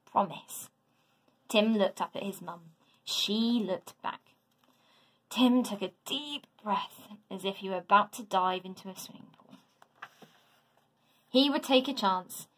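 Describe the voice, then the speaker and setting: A woman teacher narrating a children's story aloud in a dramatic, deliberate, expressive way. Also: medium pitch, low-quality recording. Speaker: teacher reading to a class.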